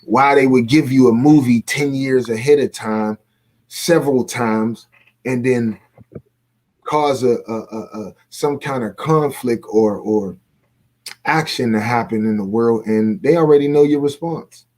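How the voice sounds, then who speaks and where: A man's voice: mid-range voice, measured pace, a little mellow. A man in a casual livestream discussion, talking in an opinionated, colloquial and emphatic way, repeating phrases to press his points. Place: remote video call.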